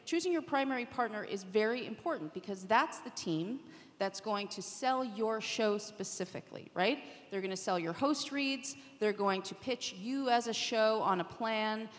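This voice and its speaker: A woman's voice: medium pitch, expressive, normal speed. A woman panelist explaining things as a media executive, posing rhetorical questions and checking in with her listeners. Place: conference session room. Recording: echoey hall, long reverb.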